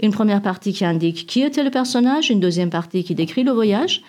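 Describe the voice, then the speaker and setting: A woman's voice: mid-range voice, expressive, fairly quick, darkish tone. A woman from Iran, a university researcher answering questions about her project, speaking with many fillers and restarts. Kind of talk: academic interview answer.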